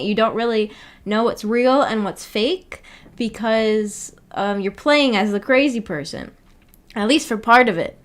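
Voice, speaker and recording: A woman's voice: fairly high pitch, fairly bright tone. A woman hosting a livestream, chatting casually in a musing, hesitant, giggly way. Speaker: livestream host. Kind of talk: casual livestream chat about a visual novel.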